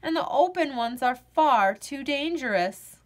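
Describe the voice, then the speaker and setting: A woman voicing a concerned father in a children's story, calmly explaining something to his son. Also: sits high, a little slow. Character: worried father explaining the snowstorm.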